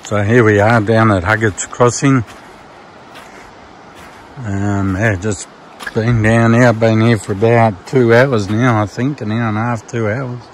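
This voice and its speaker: A man with an Australian accent casually walking through his hiking gear, relaxed and rambling with plenty of ums and restarts, filmed on a phone outdoors. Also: lowish voice, measured pace, slight background noise. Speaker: vlogger. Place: outdoors at a campsite by a river.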